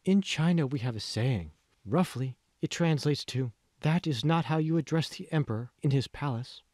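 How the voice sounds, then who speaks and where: A man voicing a polite, deferential young Chinese woman in an audiobook, breathy and careful. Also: expressive, normal speed, darkish tone, dry recording, clean studio sound. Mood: demure. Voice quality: breathy, high-pitched. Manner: demure, careful.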